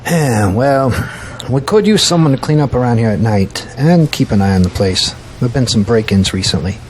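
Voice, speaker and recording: Man acting as middle-aged boss, gruff but kindly, hesitant, casual, faint ambience behind. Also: mid-range voice, lively intonation, moderate pace, darkish tone, slight background noise, natural voice, rough recording. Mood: cautious. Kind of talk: audio drama acting.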